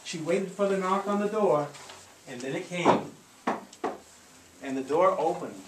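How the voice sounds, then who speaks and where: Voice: mid-range voice, lively intonation, a little slow. A storyteller reading aloud to a live group in a theatrical, encouraging way, with coaching asides. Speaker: storyteller leading a live audio theatre show. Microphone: handheld camcorder at a distance. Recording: echoey room, distant camcorder mic, noisy.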